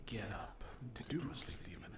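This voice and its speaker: A man speaking softly to viewers in an ASMR style, hesitant and halting, often slipping into a whisper. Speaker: ASMR creator addressing viewers. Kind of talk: soft-spoken ASMR update. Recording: echoey room with loud noise, clicks.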